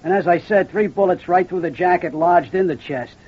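A man's voice: high voice, brisk, husky. An actor playing a deferential, factual policeman reporting in an old radio crime drama. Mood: dutiful. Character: dutiful policeman reporting to his superiors. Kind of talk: 1940s-50s radio crime drama acting. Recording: dull old-time radio recording.

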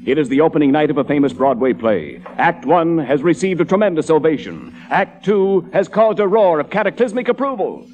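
A man's voice: fairly high pitch, lively intonation, fairly quick, hardly any pauses, darkish tone, muffled recording. An American man announcing and narrating in a scripted, mock-grand 1940s radio style.

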